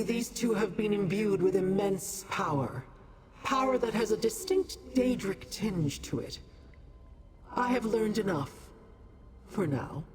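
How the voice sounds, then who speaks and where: A woman's voice: a little high, a little mellow. A woman playing an imperious, cryptic otherworldly being in a fantasy video game, with a theatrical, formal delivery.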